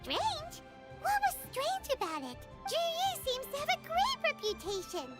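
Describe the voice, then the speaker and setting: Tiny, extremely squeaky fairy girl. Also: wildly swooping pitch, a little slow, full character voice. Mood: puzzled. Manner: squeaky, childlike, blunt. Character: tiny, squeaky, naive, outspoken female fairy companion. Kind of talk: English video game voice acting. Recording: game music behind.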